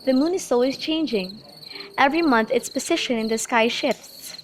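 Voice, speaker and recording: Woman as earnest young romantic heroine, amateur radio Shakespeare, higher register, slightly noisy home recording with insects. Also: moderate pace, natural voice. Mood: earnest, cautious. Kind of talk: student radio drama acting of modernized Shakespeare.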